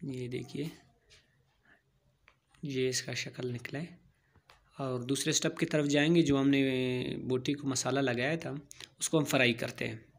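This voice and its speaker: A man's voice: mid-range voice, steady tone, a little slow, long pauses. Man talking through a cooking tutorial. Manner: plain step-by-step instructions, repeats phrases. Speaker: home cooking channel host.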